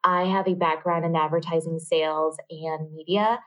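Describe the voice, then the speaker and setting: A woman's voice: deep voice, fairly even intonation, moderate pace, highs cut off. An American woman from California, whose first language is Vietnamese, answering interview questions as an MBA student in a bubbly way and laughing often. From California, United States.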